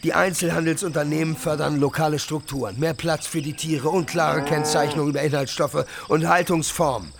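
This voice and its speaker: Casting: swaggering startup-bro mogul for satirical podcast drama, higher-pitched, fry-laden voice, hype talk with English buzzwords. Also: male voice, fairly even intonation, moderate pace, hardly any pauses, a little hiss or hum. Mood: smug, preachy. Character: cocky woke male influencer mega-entrepreneur, slick, self-promoting.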